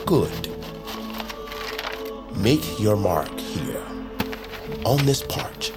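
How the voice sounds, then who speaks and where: A scheming master of assassins in a fantasy audio drama, played by a man, speaking slowly and conspiratorially. His delivery swings widely and theatrically through a measured, grave performance, with music underneath. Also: on the low side, big pitch swings, exaggerated voice. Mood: sly, instructing. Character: scheming bird-folk master of a secret assassin order. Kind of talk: dramatic audio fiction acting.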